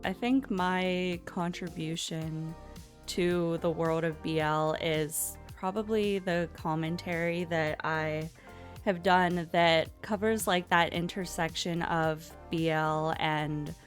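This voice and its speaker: A woman, a podcast co-host and commentator, talking in a measured, explanatory way during a casual interview. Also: lowish voice, fairly even intonation, soft background music.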